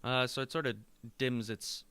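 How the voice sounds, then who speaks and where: A man narrating a tabletop game as game master, describing things haltingly, step by step. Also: mid-range voice, fairly even intonation, pushed and bright, smooth, dry recording.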